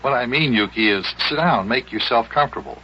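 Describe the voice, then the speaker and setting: A man playing a patient, kindly guardian in an old-time children's radio serial, gently admonishing and proper in manner. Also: medium pitch, animated, a little fast, slightly rough, exaggerated voice. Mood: genial. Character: patient, kindly, moralizing adult male guardian. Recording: dull, noisy vintage radio recording.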